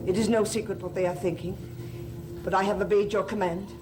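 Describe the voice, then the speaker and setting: An actress playing a solemn, devoted servant with occult powers, speaking formally and incantatory in a gothic soap opera. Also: female voice, mid-range voice, steady tone, fairly quick, background noise, natural voice. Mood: grave, guarded. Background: faint music.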